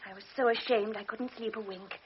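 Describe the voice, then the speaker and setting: An actress playing a proud, highly strung young baroness who cannot walk, in a radio drama, with a breathy voice that swings from shy apology to sharp command. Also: female voice, fairly even intonation, a little fast, slight background noise, natural voice. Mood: apologetic. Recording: dull old radio recording.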